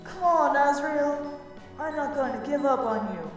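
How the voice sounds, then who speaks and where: Woman as a casual, loyal friend in deep echo. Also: measured pace. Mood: earnest, encouraging. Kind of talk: amateur cartoon-fandom audio drama. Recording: distant, heavily echoing hall, music behind.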